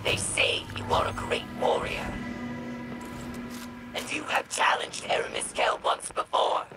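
A woman playing a fierce, proud alien warrior in a video game, with a harsh breathy growl. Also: in a whisper, close to an everyday voice. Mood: menacing, taunting. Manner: slow, menacing declarations. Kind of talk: video game villain acting. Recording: loud game music and effects behind.

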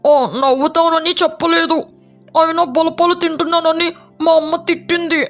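Casting: woman for sparrow schoolkid, very high childlike voice, matter-of-fact, cartoon storytelling over music. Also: flat delivery, moderate pace.

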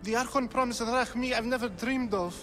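An actor playing a cowardly, poor young artist who pleads fearfully in a video game cutscene. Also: male voice, very high-pitched, fairly quick, sharp tone, faint music behind. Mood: frightened pleading.

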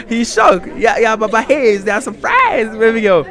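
A man's voice: very high voice, sing-song, takes it slowly, over music. A young Ghanaian man with a Ghanaian accent hosting a teasing outdoor quiz interview at night, laughing often and slipping into Pidgin. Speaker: street interviewer host. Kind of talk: playful campus quiz interview. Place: outdoor rooftop terrace at night. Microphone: handheld mic.

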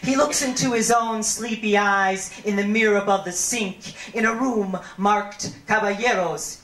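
Slow, theatrical woman poet in echo. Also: mid-range voice, a bit bright. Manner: deliberate, theatrical delivery with drawn-out words. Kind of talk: live spoken-word poetry reading. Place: small brick-walled performance venue, indoors. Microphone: handheld stage microphone through a PA. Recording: echoey amplified 1990s live camcorder recording.

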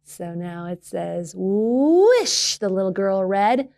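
A woman reading a children's picture book aloud as a library storyteller, expressively, with emphasis and dramatic pauses. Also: lowish voice, steady tone, gravelly, dark tone.

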